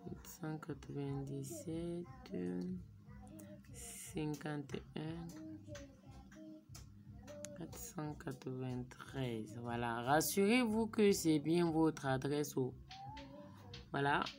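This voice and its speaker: A woman's voice: low voice, drawn-out words, pauses often. A woman narrating a phone screen recording as a tutorial, thinking aloud as she types in numbers and sometimes dropping to a murmur. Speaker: vlogger.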